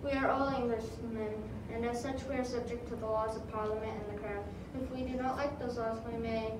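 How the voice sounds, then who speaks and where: A woman's voice: fairly high pitch, fairly even intonation, brisk, close to an everyday voice. A woman playing a stern, formal male colonial governor who scolds and refuses firmly, in an echoey room recorded from a distance. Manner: firm, indignant, formal. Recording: echoey room from far off with noise.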